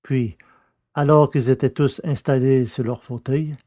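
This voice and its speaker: A man reading scripted narration for a science-fiction audio serial in a measured, literary way. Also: medium pitch, steady tone, warm tone, a little hiss or hum.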